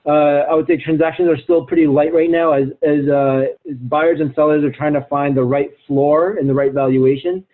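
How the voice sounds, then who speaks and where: A man speaking as an investment executive on a client call, explaining in a halting way with many fillers and repeated words. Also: on the high side, fairly even intonation. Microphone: phone or conference call line. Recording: thin, phone-like conference call line.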